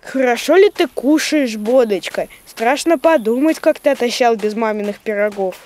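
A woman's voice: on the high side, moderate pace, a little mellow, close to an everyday voice. A woman voicing a patient young cartoon creature, expressively explaining and reading aloud for children. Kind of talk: children's educational cartoon voice acting.

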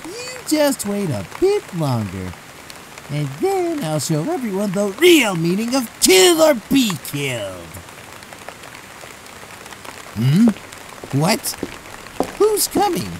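A woman's voice: medium pitch, wildly swooping pitch, a little hiss or hum, rough recording. A woman voicing a small, malicious talking flower in a fan comic dub, mocking, bitter and theatrical. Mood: menacing, suspicious. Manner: mocking, theatrical, drawn-out menace.

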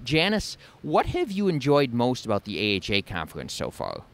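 A man's voice: medium pitch, wildly swooping pitch, moderate pace, creaky, a bit bright. A middle-aged man working as an on-camera interviewer at an event, speaking into a handheld microphone in a large hall. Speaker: interviewer, blog host. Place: large convention hall with poster boards.

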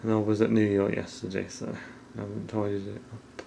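Middle aged guy filming a walk round a house, monotone, low key, kinda trailing off, noisy camera audio. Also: a little fast, vocal fry. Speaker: vlogger giving a house tour. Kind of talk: casual off-the-cuff narration while filming. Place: indoors, walking through rooms of a house. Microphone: handheld camera at arm's length.

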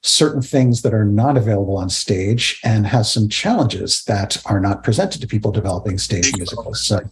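A man's voice: fairly low pitch, expressive, normal speed. Middle-aged man hosting, hesitant and wordy. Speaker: interview host. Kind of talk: spoken introduction and interview questions. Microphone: webcam on a video call.

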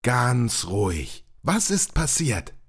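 A man voicing a sleepy, good-natured Sandman in a children's audio play, grumbling but helpful, with a husky voice. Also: mid-range voice, sing-song, takes it slowly, heavily acted. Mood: calming.

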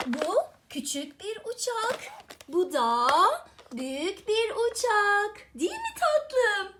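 Woman, extremely high voice, mother teaching a small child, encouraging, affectionate, singsong question-and-answer. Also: sing-song, slow, fairly bright tone, a little hiss or hum.

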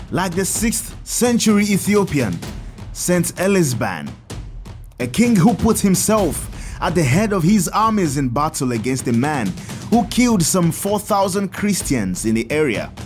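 Kindly priest, lively intonation, slightly high voice, measured teaching, audio drama, music underneath. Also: male voice. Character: kindly priest, Father Tad, teaching children about saints. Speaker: priest character telling saints' stories.

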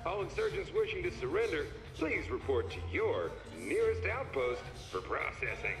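A man's voice: on the high side, big pitch swings, normal speed, a bit bright. Sardonic, swooping radio DJ man. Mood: mocking glee. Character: gleeful, mocking wartime radio DJ. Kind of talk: mock radio broadcast announcing. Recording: echoey, long reverb, music behind.

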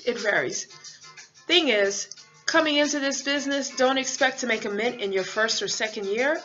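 A candid woman truck driver speaking from her cab, blunt and sassy, dropping a curse here and there. The recording is slightly muffled, and faint music plays quietly behind her. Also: a little high. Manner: candid, sassy, laughs often, swears. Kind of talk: casual advice vlog.

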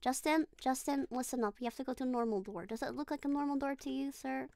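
A woman's voice: high voice, quick pace, creaky, darkish tone, no room sound, clean studio sound. A woman streaming a horror game, chatting casually, giggling and talking to her character. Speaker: VTuber streamer. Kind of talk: casual gaming livestream chat.

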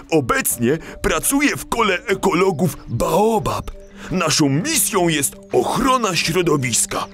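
A man's voice: fairly high pitch, fairly bright tone, full character voice. A man voicing a friendly hippo dad, an enthusiastic ecologist explaining things to children in an audio play. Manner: enthusiastic, didactic, speaking to kids. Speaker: guest speaker to children.

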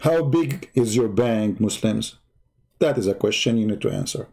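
Arabic-native guy ranting on a livestream, husky mellow voice, mocking and combative. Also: on the low side, lively intonation, normal speed. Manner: combative, mocking, repeats rhetorical questions. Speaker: online debate host. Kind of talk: heated live-stream commentary.

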